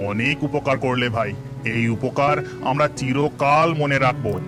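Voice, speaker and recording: Casting: actor as a kindly ghost man posing as a villager, mid-pitched cartoon voice with music under it. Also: a little slow, close to an everyday voice. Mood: grateful, polite. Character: kindly male village ghost in human guise. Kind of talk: Bengali cartoon voice acting.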